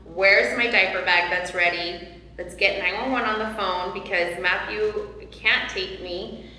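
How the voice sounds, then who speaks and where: A woman's voice: medium pitch, steady tone, a little slow, pushed and bright. A woman in her forties, a panel guest, earnestly retelling a personal story with many fillers. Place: large hall, seated on a sofa. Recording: echoey hall, light ambience.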